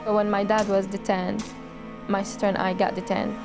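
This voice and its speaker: A woman's voice: mid-range voice, level tone, moderate pace. A young woman from Syria, speaking reflectively and with some hesitation in a documentary interview. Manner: reflective and hesitant, frequent "you know" fillers. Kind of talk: documentary interview and personal testimony. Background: background music.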